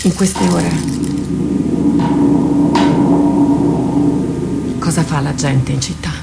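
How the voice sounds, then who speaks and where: A woman's voice: low-pitched, normal speed, vocal fry. A woman playing an anxious, urgent female warrior in an Italian radio drama. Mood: anxious, questioning.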